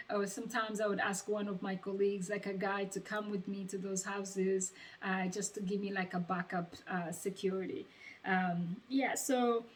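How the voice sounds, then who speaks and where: A young woman from Uganda with a Ugandan accent, giving casual, encouraging advice in a conversational vlog style. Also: mid-range voice. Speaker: vlogger sharing sales advice. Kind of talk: casual personal advice talk. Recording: distant microphone.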